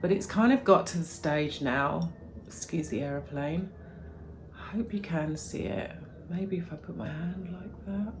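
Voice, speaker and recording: A woman's voice: fairly low pitch, measured pace, a little hiss or hum. A woman with a bit of a cold, chatting in a rambling way as she shows her houseplants in a home vlog. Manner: rambling, chatty, self-correcting. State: stuffy with a cold. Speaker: plant vlogger. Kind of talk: chatty plant care vlog.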